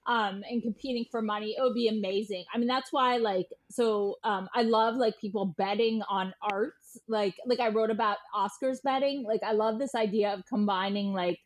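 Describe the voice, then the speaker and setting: A woman's voice: mid-range voice, steady tone, normal speed, few pauses. A woman chatting casually as a podcast guest, very informal, scattering filler words and acting out quoted remarks. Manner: informal, peppers speech with "like", animatedly quotes others. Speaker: journalist and author, podcast guest. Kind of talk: casual chat.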